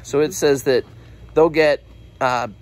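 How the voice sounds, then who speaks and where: Casual man with a fairly high voice. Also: steady tone, slow pace, a little mellow. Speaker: visitor asking questions behind the camera. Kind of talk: casual questions and reading details aloud. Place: greenhouse. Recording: faint background noise, handheld camera video.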